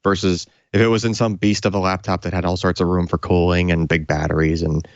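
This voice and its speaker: A man's voice: low-pitched, little pitch movement, fairly quick, no echo. A man co-hosting a casual tech podcast, explaining things in a rambling, informal way, on slightly dull audio. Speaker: podcast co-host.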